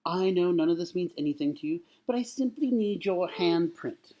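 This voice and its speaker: A man's voice: sits high, animated, moderate pace, warm tone, voice pushed up. A man playing an overdramatic, falsely friendly starship captain in a comic sci-fi monologue, with exaggerated delivery. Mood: patronizingly friendly. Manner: exaggerated, overacted.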